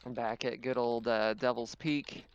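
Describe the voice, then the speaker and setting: A man acts as game master for a tabletop roleplaying session. His voice is clear and smooth, mid-pitched and a little muffled by the recording. He describes the action casually, halting as he works it out. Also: fairly even intonation, no echo.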